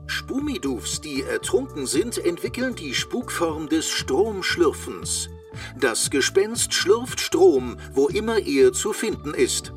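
A man's voice: fairly high pitch, very animated, slow, recorded from a distance, faint music behind. A man playing a chirpy automated hotline announcer with a salesman's zeal in a children's radio play, using sing-song patter. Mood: relishing. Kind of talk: parody phone menu in children's radio play.

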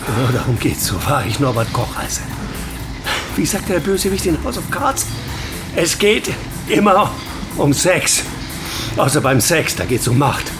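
An actor playing a blunt, teasing best friend in middle age, mocking and incredulous in radio play banter. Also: male voice, fairly high pitch, sing-song, unhurried, over music. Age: middle-aged. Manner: mocking, interrogating, emphatic.

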